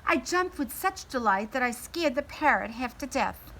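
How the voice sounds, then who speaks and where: A woman reading a children's story aloud in an expressive manner, acting out the dialogue. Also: high voice, normal speed, a little hiss or hum, low-quality recording. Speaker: story reader.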